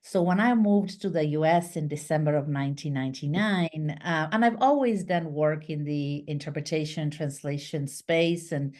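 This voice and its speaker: A middle-aged woman from Argentina, a business founder, talking as a guest on a video call interview with lively hand gestures and many fillers. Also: deep voice, normal speed, hardly any pauses. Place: small home office, indoors. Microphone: video call webcam.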